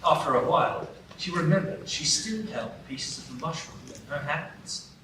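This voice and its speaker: A man narrating a storybook tale in a live radio play, telling it in a clear, even way. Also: a little high, moderate pace. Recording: roomy stage from a distance, hiss.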